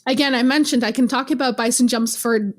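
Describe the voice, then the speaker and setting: A young woman from Canada, a guest in an interview, telling stories in a lively, teasing way and laughing often. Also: fairly high pitch, a little fast. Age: young adult. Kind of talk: casual interview.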